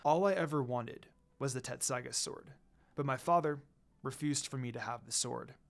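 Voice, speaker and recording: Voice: medium pitch, normal speed, creaky, voice pushed down, lightly acted. A storyteller voicing a cold, proud male demon lord in a fan fiction reading, murmuring and stern. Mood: brooding, bitter.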